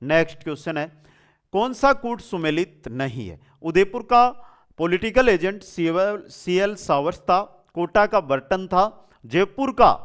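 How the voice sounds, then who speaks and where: Guy teaching exam quiz, highish really clean ringing voice, repeats questions n answers, talks to students like family. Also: clear, resonant tone. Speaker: online exam coaching teacher. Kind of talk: quiz-style lesson, asking questions and giving answers.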